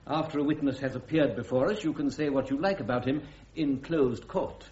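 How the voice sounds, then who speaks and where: An actor playing a crusty, authoritative senior British army officer presiding in a radio drama court martial, with a clipped, pompous manner. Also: male voice, mid-range voice, a little fast, a little mellow, muffled recording, lightly acted. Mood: stern rebuke.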